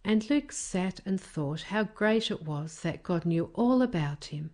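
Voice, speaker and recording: A woman reading a children's story as a careful, expressive narrator. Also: on the low side, a little slow. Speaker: storybook reader.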